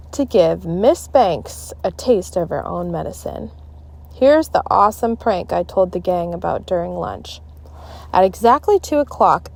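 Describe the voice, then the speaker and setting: A woman narrating a children's book aloud in an expressive, dramatic, deliberate style. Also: mid-range voice, creaky. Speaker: storybook reader.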